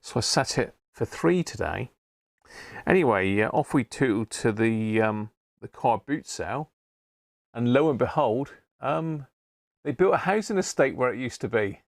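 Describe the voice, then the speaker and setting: A man's voice: mid-range voice, lively intonation, a little slow, gravelly, dry recording. A British man hosting a casual live hobby stream, talking in a rambling, matey way. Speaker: host of a live radio-repair stream. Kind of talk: casual livestream chat.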